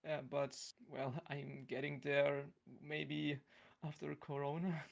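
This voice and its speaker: A young man chatting casually as a podcast guest, in a hesitant, halting manner. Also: fairly high pitch, flat delivery, creaky, dull audio. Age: young adult. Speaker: podcast guest, university student. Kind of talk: casual chat.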